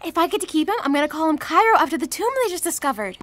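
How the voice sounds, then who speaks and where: A woman's voice: sits high, expressive, racing. A woman playing an eager, playful little girl in an audio drama. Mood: pleading, hopeful. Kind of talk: audio theatre acting.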